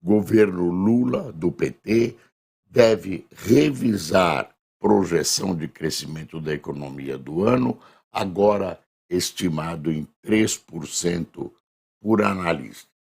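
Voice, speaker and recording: Brief: hoarse Brazilian male news commentator, deep gravelly voice, unhurried chatty delivery. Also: a little mellow. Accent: Brazilian Portuguese. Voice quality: gravelly, husky. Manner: conversational, reads headlines aloud slowly with emphasis. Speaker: news commentator reviewing front pages. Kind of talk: casual spoken press review.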